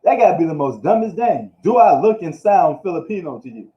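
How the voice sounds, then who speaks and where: A man's voice: on the high side, big pitch swings, a little fast. A man from New York giving a casual, slangy live recap of the football week, ranting loosely as he goes. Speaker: sports streamer. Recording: echoey room, dull lo-fi live stream.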